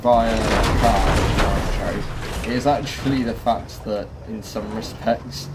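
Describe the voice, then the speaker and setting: A man's voice: lowish voice, fairly even intonation, takes it slowly. A man hosting a gaming video with casual gameplay commentary. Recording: voice-over with music and game vehicle sounds. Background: clear music and game engine noise.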